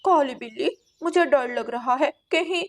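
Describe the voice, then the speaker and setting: A woman's voice: sits high, normal speed. A woman voicing a greedy, trusting female crow in a children's cartoon story, with a naive and eager manner. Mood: nervous. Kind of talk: children's animated story dialogue.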